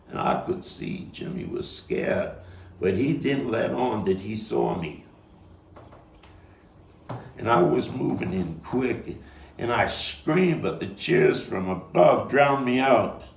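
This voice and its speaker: A man performing a dramatic stage monologue as a vengeful young gang member, profane and intense, halting and repeating his words. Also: mid-range voice, gravelly. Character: volatile, vengeful male street gang youth recounting a bloody fight. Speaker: actor and playwright performing his own monologue. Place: echoey room, table read. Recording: echoey, noisy room, distant mic.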